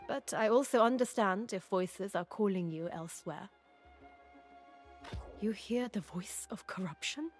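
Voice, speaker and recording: A woman's voice: medium pitch, soft background music, lightly acted. A woman as a gracious, earnest queen. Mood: concerned, earnest. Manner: sincere, measured. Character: gracious, earnest young warrior queen, humble and concerned. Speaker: video game character. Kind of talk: dramatic game cutscene acting.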